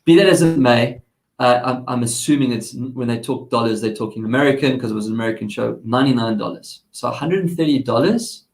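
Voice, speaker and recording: A man's voice: mid-range voice, fairly quick, reverberant. A man with a South African accent, hosting a casual tech segment and chatting in a rambling way with lots of restarts. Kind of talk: casual chat.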